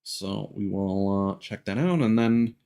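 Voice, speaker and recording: A man's voice: on the low side, a little slow, gravelly, dark tone, smooth. A man casually commentating on his video game livestream in a dry, mildly sardonic way. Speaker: video game live streamer.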